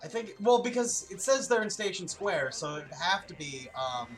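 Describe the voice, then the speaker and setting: A man comments casually on a video game in a sarcastic, joking way. His pitch moves around a lot, and faint music runs behind his voice. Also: big pitch swings, moderate pace, a bit bright. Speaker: let's play commentator playing a video game.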